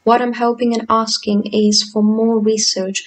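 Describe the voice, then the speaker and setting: A woman in her twenties from Greece, calmly reading her own patient testimony. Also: on the high side, a little slow. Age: 20s. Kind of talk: read personal testimony.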